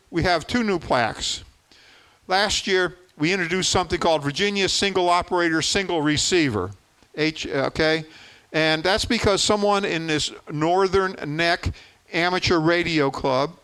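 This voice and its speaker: A man in his seventies presents to a club in an informal, explanatory way. His voice is smooth and clear, pitched a little high for a man. He is folksy and plain-spoken, leaning on repeated words for emphasis. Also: fairly bright tone. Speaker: contest organizer giving a presentation.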